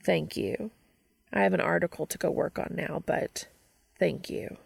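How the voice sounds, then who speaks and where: A woman as an earnest, gravelly male professor. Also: fairly low pitch, a little slow, no echo, heavily acted. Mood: warm, hurried. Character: earnest, reserved male professor, mildly flustered.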